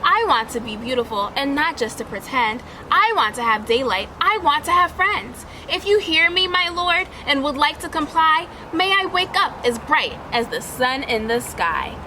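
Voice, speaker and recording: Storybook role, played by a woman: sad young girl at prayer, high voice, earnest, pleading, noisy recording. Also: sing-song, normal speed, hardly any pauses, bright, forceful tone, exaggerated voice. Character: earnest, sad little girl praying for a miracle.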